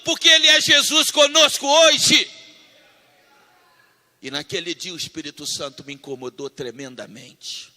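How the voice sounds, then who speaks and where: A man preaching a sermon, telling a personal testimony, moving between loud fervent declaiming and hushed storytelling. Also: sits high, steady tone, measured pace. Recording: long hall reverb.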